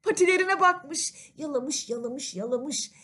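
High-voiced woman telling a fairy tale. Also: animated, a little slow, fairly bright tone. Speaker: storyteller. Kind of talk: expressive children's fairy-tale narration.

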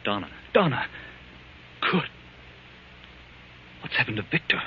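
A man's voice: mid-range voice, very animated, measured pace, over music. An actor playing an anxious, protective man with a guilty secret in 1940s radio drama style. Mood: alarmed. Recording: muffled, humming old radio recording.

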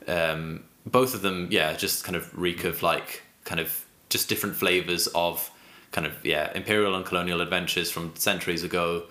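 A man co-hosting a casual political podcast, speaking haltingly and searching for words in an echoey room. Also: low voice, steady tone, bright, forceful tone. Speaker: podcast co-host. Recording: roomy, echoey sound.

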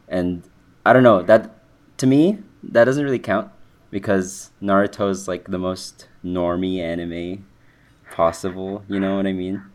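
A man's voice: low voice, steady tone, unhurried. A young man from the Philippines with a nasal voice, chatting casually on a podcast, rambling and correcting himself. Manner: rambling, self-correcting, lots of likes. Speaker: podcast co-host. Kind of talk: casual chat.

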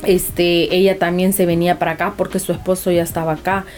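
A young woman talks earnestly, pausing and restarting as she goes. Her voice sits fairly low for a woman. It has the sound of a sit-down interview guest. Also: little pitch movement, a little mellow, soft background music. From Honduras. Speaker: anonymous guest. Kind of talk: personal interview.

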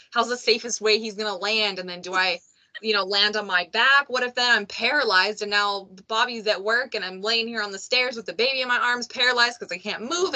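A woman's voice: a little high, bright, forceful tone, poor audio. A woman hosting a podcast, talking in a candid, chatty way and telling stories with animation. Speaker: podcast host. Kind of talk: casual conversation.

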